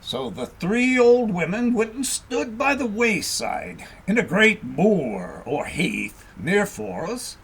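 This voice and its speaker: A man reading a story aloud as scripted narration, in a dramatic, expressive storytelling manner. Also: high-pitched, slow, gravelly, few pauses, breathy edge, poor audio. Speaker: audiobook reader.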